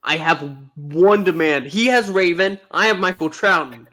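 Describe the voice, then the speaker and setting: A man with a somewhat high-pitched voice co-hosts a casual review for fans. He talks informally and playfully, leaning on emphatic words to make his opinions land. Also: unhurried, bright, forceful tone. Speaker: podcast co-host and reviewer. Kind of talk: casual fan review chat.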